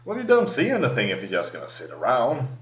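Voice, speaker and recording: A man with a heavy Swedish accent, co-hosting a podcast in casual chat, relaxed and quick to laugh. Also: mid-range voice. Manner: relaxed, laughs often, restarts sentences. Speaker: podcast co-host. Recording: echoey lo-fi mic.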